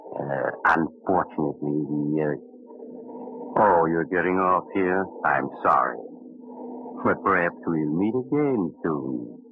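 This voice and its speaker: Through a faintly noisy, tinny vintage radio recording comes an extremely deep-voiced man. He is a sly mysterious traveler telling macabre tales, genial yet sinister, confiding and unhurried. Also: lively intonation, exaggerated voice. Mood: sly, sinister geniality. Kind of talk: old radio drama narration. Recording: noisy, narrow-band old radio recording.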